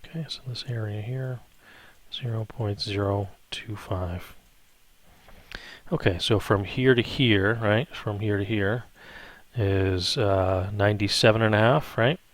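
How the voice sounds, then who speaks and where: A man with a fairly low voice leads an informal step-by-step tutorial. He thinks aloud as he works and keeps checking in with little questions. The sound is dry, with very little room on it. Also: a little slow, no echo. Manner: thinks aloud, frequent check-in tags like "right?". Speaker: math instructor. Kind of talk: improvised step-by-step spreadsheet tutorial.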